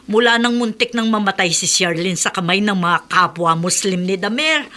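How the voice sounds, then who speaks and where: An actress playing a polite, caring middle-aged mother in a radio drama, deferential and quick to laugh. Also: mid-range voice, expressive, bright, forceful tone.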